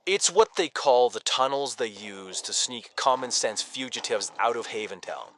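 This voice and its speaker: An actor playing a stern, stiff police officer giving a measured official update in an audio drama news report. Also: male voice, medium pitch, animated, a bit bright, slight background noise, close to an everyday voice. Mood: officious warning.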